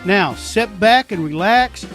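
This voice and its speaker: A man giving a slow, relaxed channel introduction for old radio programs. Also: high voice, soft background music. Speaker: channel host. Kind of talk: intro narration.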